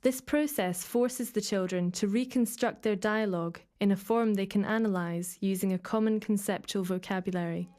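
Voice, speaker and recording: A woman's voice: medium pitch, moderate pace, hardly any pauses, dark tone. A woman reading scripted documentary narration. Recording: music under the voice. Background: background music.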